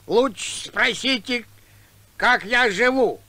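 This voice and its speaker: A woman's voice: fairly high pitch, takes it slowly, sharp tone, dry recording, exaggerated voice. A woman playing a frightened, complaining cartoon scarecrow, drawing the words out in a theatrical lament. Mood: fearful, lamenting. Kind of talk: cartoon voice acting.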